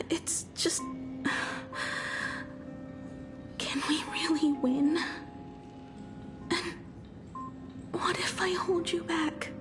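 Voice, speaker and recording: A woman playing a frail, earnest young heroine in a video game, speaking haltingly with breathy emotion. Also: very high-pitched, steady tone, music in the background, heavily acted. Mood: hesitant, anxious. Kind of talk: dramatic video game voice acting.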